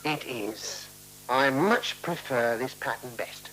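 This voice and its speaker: An actor playing an earnest, anxiously precise man in a 1970s radio play, formal and deliberate. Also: mid-range voice, lively intonation, fairly bright tone, low-quality recording. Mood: solemn, satisfied. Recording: hissy, humming 1970s radio recording.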